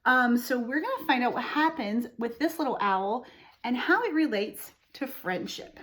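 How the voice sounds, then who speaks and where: A woman reading a picture book aloud to young children, expressively, pausing to explain and ask them questions. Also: a little high, expressive, a bit bright, low-quality recording. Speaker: storytime host reading to children.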